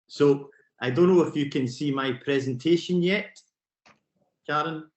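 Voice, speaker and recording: Middle-aged Glaswegian man, remote speaker on a video call, roomy sound, fast excitable delivery. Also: fairly high pitch, lo-fi sound. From Scotland. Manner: fast and excitable, with many fillers and restarts. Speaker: youth work programme director. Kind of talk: conference presentation over a video call. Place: remote, away from the venue.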